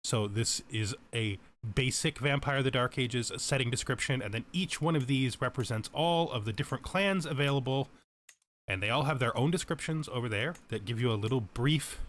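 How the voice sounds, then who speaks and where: A man's voice: mid-range voice, expressive, normal speed, a bit bright, a little hiss or hum. A man giving explanatory let's play commentary as a tutorial host, methodical and thorough in how he explains things. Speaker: game tutorial host, player.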